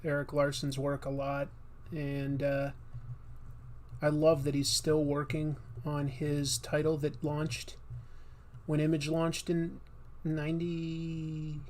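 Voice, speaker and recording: A man's voice: fairly high pitch, completely flat delivery, takes it slowly, a little mellow. A man hosting a casual comics livestream while he draws, chatty and rambling, with a slightly nasal voice. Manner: rambling, chatty, with many fillers and restarts. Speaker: livestream host and comic artist.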